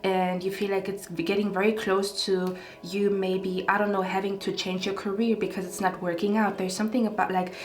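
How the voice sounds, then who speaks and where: A woman's voice: medium pitch, little pitch movement, moderate pace, hardly any pauses. A woman giving a casual pick-a-card tarot reading to camera, rambling with many fillers and asking "okay?" after her phrases. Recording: echoey room.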